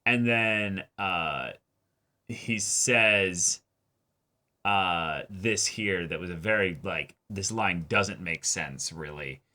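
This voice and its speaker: A man co-hosting a reaction show, arguing his points casually in a questioning, animated way. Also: sits low, slow. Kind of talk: casual anime discussion.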